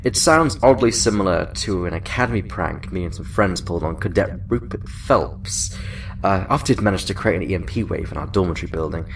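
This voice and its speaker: An actor playing a genial senior admiral in a science fiction audio drama, measured and formal, sounding a little away from the mic. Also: male voice, low-pitched, vocal fry, distant mic, a little hiss or hum, poor audio. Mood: amused, genial. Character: genial, senior male admiral, chatty then businesslike.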